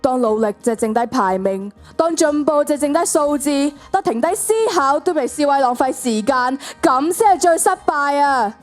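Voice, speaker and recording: A woman's voice: sits high, normal speed, few pauses, soft background music, lightly acted. A teenage girl playing an earnest, emphatic schoolgirl club leader in a radio drama. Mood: impassioned.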